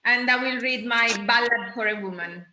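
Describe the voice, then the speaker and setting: A woman's voice: a little high, normal speed, noisy background. A woman from Italy with an Italian accent, a poet speaking and reciting on an online call. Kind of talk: poetry recitation and brief greetings. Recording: echoey room over online call.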